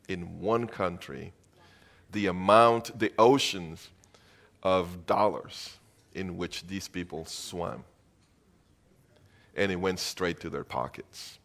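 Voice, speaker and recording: Slow, low-voiced preaching man. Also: a bit bright. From Venezuela. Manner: earnest, emphatic preaching with repeated phrases. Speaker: pastor. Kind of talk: sermon.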